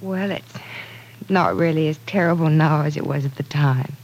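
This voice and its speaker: Young sister in old radio play, husky, soft, candid, caring. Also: female voice, sits low, moderate pace, poor audio. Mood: reflective. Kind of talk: old-time radio drama acting. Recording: vintage radio broadcast.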